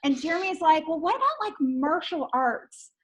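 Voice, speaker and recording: A middle-aged woman with a high voice, chatting on a video call from home. She tells her story casually and animatedly, quoting people as she goes. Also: moderate pace, a little hiss or hum. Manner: animated retelling with quoted dialogue. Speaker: television writer. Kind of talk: casual anecdote. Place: room at home.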